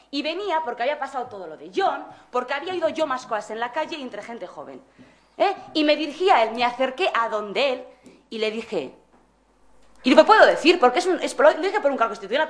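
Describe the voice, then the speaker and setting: Indignant woman from the Basque Country at a public meeting; high, lively voice, fast and insistent. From Basque Country, Spain. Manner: indignant, insistent, keeps repeating "¿eh?". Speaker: resident speaking at a public council meeting. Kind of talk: heated argument.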